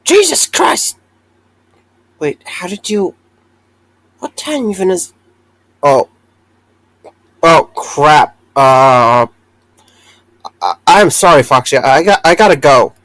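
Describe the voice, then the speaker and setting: A man's voice: a little high, big pitch swings, slow, pauses often, a bit bright, dry recording, heavily acted, low-quality recording. A man playing a jumpy, apologetic former security guard in a fan audio drama, hesitant and stammering. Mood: startled.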